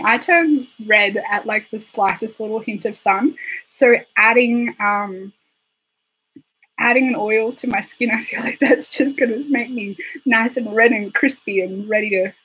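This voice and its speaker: A woman hosting a casual beauty livestream, hoarse from being sick, chatty and rambling with giggly asides. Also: a little high, a little slow, fairly bright tone, rough recording. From Australia. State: hoarse, recovering from illness. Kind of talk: casual livestream chat reading viewer comments. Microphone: desktop microphone.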